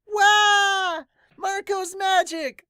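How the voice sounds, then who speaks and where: Guy doing really high whiny excitable villager for a manga dub, loud, drags words out. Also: dry recording, studio quality, exaggerated voice. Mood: excited, pleading. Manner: high, strained, exclaiming, drawn-out words. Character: excitable villagers, old and young, pleading and complaining.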